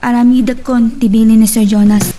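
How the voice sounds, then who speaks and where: A woman's voice: a little high, level tone, brisk, over music, close to an everyday voice. A woman acting in a radio drama as an eager, deferential secretary. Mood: obedient. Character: deferential, eager female secretary answering her boss.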